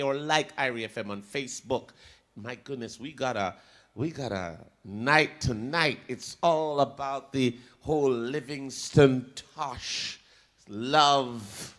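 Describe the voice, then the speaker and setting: A Jamaican man in his forties hosting a radio entertainment show in a studio, joking in casual patois banter into a handheld mic. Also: medium pitch.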